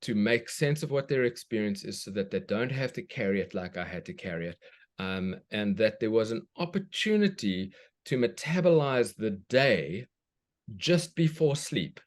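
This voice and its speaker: A man's voice: fairly low pitch, big pitch swings, moderate pace. A man from South Africa, a children's book author, speaks thoughtfully as a guest, often restarting as he searches for words. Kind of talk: reflective conversation.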